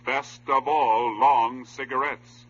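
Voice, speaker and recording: Bright, forceful American radio announcer man. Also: medium pitch, fairly even intonation, takes it slowly, slightly rough. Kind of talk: formal scripted 1950s radio announcing. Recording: muffled old radio broadcast recording.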